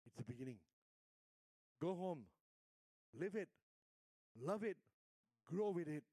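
An older Singaporean pastor delivers a sermon, sliding from hushed, intimate asides to loud, emphatic exhortations. His voice sits a touch high for a man. The sound is close and dry, with almost no room around it. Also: lively intonation, takes it slowly, dry recording. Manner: storytelling, swings between hushed and emphatic.

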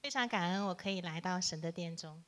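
A middle-aged woman interpreting a sermon live, halting and piecing it together in short phrases. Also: lowish voice.

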